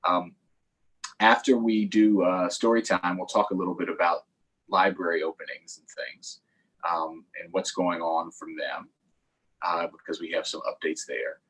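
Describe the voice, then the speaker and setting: A man's voice: low voice, fairly even intonation, a little slow, a bit bright, husky, poor audio. A man hosting a children's story time, reading aloud in a playful, sing-song way with chatty asides to viewers.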